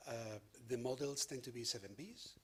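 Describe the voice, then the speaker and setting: Man, medium pitch, panelist, spontaneous spoken answer. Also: moderate pace, slight background noise.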